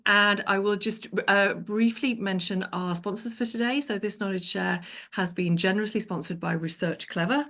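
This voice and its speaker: A woman's voice: lowish voice, gravelly, fairly bright tone. A woman moderating an online session, politely introducing the speakers and a sponsor. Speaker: event moderator.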